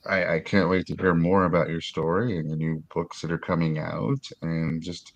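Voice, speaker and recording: A man hosting an interview show, thanking his guest with many pauses and ums. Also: sits low, level tone, gravelly, dull audio. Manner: warm, hesitant, many ums. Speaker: show host. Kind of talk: interview host wrap-up.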